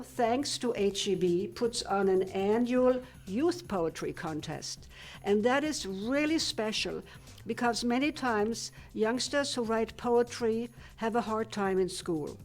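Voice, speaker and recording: Slow-spoken older woman from Germany. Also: mid-range voice, darkish tone. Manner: slow, measured, plain-spoken. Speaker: guest, retired physicist turned painter. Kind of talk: studio interview. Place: TV studio.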